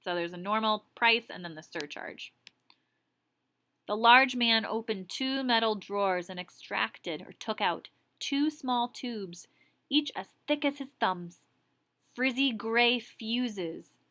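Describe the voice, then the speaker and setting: A woman teacher reading a story aloud expressively, pausing to explain words in a casual way. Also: a little high, sharp tone.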